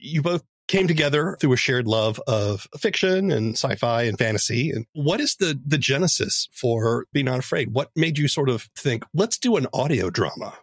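A man's voice: mid-range voice, animated, moderate pace, few pauses, slightly rough, no echo, clean studio sound. A man hosting a podcast interview in a casual, conversational style.

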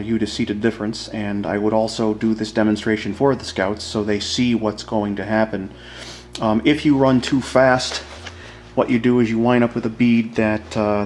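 Man, lowish voice, informal instructor, step-by-step tutorial, music behind. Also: a little hiss or hum. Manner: casual, informal, addresses viewers as guys. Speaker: welding instructor demonstrating. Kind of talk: hands-on tutorial explanation.

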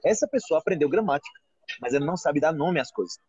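A Brazilian man in his thirties talks fast and animated into a phone held close, his voice husky and a little high for a man. The sound is dry and muffled, as if caught by a phone's mic in a restaurant. He keeps asking if you follow him. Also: no room sound. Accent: Brazilian Portuguese. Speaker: Portuguese teacher and channel host. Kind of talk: casual live video call chat. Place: restaurant, indoors. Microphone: phone held close, front camera.

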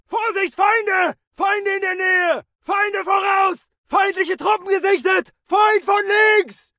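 High-pitched shouting German infantry soldier. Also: male voice, no room sound, clean studio sound, exaggerated voice. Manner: urgent, forceful barking. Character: battle-hardened male German WWII infantry soldier. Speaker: video game soldier voice. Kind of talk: shouted combat voice lines.

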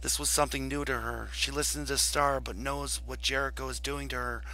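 Bright-voiced man reading roleplay aloud. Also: medium pitch, fairly even intonation, low-quality recording. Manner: halting, stumbling read-aloud delivery. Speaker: roleplayer and video host. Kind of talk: narrating a text roleplay aloud, casual asides. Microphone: voice chat over computer mic.

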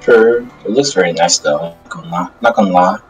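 A man playing a calm, polite, easygoing demon in improvised game roleplay, speaking in a laid-back way with murmured hums. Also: sits low, a little fast, highs cut off, lightly acted. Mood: mild, easygoing. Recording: faint music behind the voice.

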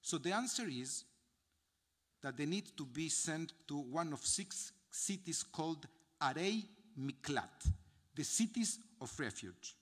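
Solemn older Argentine rabbi in a reverberant hall. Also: male voice, fairly high pitch, sing-song. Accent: Argentine Spanish. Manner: solemn, measured, reading from notes with dramatic pauses. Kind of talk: memorial sermon. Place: large synagogue sanctuary. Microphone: gooseneck podium mic. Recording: long hall reverb from the sanctuary.

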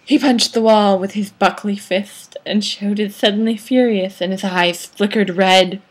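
A woman's voice: medium pitch, fairly even intonation, unhurried. A young woman reading fan fiction aloud in a theatrical, mock-dramatic way, recorded on a webcam microphone in a small room. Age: young adult. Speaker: amateur reader. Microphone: webcam or laptop microphone at arm's length.

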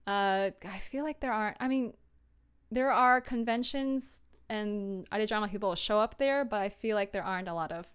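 A woman's voice: mid-range voice, moderate pace, smooth. A young woman chatting casually on a livestream and answering viewers in a scattered, self-interrupting, informal way. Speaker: audio drama producer and livestreamer.

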